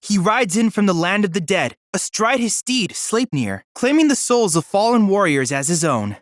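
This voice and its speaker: A man's voice: sits high, very animated, moderate pace, a bit bright, no room sound, studio quality. A man voicing a polite, eager boy android researcher in a video game, speaking in formal, articulate, bookish sentences. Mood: briefing, confident. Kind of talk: scripted video game character dialogue.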